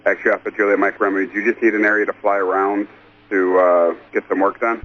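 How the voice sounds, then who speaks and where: A man's voice: lowish voice, flat delivery, fast talker, no echo. A man working as an air traffic controller, giving procedural instructions and repeating callsigns over a radio transmission. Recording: muffled, lightly noisy air traffic radio.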